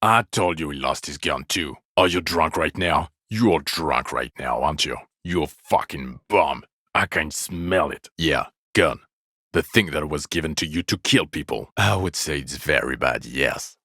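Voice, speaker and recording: An actor playing an exasperated, foul-mouthed police partner in a video game, world-weary, sarcastic and contemptuous in a gravelly voice. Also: male voice, lively intonation, sharp tone, no echo, clean studio sound, heavily acted. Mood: angry, accusing. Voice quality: gravelly, low. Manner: sardonic, weary, contemptuous, deliberate scolding. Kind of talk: video game character voice acting.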